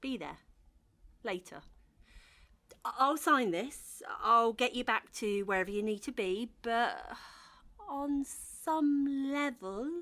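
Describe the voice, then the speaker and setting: A woman playing an anxious, wistful young bride in a naturalistic, gently comic audio drama, speaking in a hesitant, halting way. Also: a little high. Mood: tender, tentative. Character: hungover, anxious, wistful young bride on her wedding morning.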